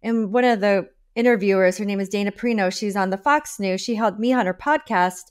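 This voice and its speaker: A woman in her forties hosting a podcast interview from a home office over a video call, upbeat and enthusiastic with lively emphasis. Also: mid-range voice, brisk, clear, resonant tone. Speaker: podcast host. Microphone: video call with a desk microphone.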